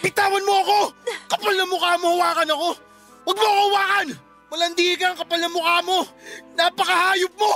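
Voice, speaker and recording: A young Filipino man enraged at betrayal in a radio drama. His voice is very high, forceful and full of taunting accusations. Music plays underneath. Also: level tone, brisk, heavily acted. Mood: furious. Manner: shouting, accusing, taunting questions.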